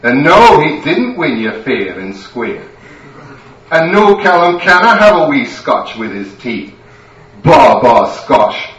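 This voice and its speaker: A man's voice: mid-range voice, animated, slow pace, fairly bright tone, echoey room, far from the mic, lo-fi sound. A man voicing a bossy, scolding Scottish grandmother who is a wine god, with a Scottish accent. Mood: berating.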